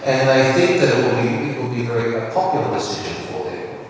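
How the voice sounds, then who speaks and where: A man's voice: mid-range voice, animated, a little fast. Hesitant man asking from the floor. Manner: hesitant, with fillers. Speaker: audience member asking a question. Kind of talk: measured question from the floor. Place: large echoey hall. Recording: distant echoey hall recording, faint room noise.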